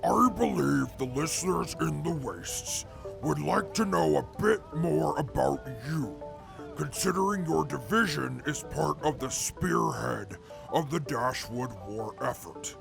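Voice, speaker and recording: A man's voice: a little high, measured pace, gravelly, over music. A man playing a grandiose, formal robot master of ceremonies interviewing in an audio drama, stilted and pompous. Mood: pompous, courteous. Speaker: interviewer on a radio segment. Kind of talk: audio drama acting, mock radio interview.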